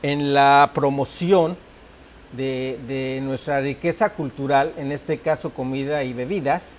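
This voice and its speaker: A Mexican man with a Mexican Spanish accent, a guest on a talk show who explains things calmly. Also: mid-range voice, takes it slowly, a little hiss or hum. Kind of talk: conversational interview.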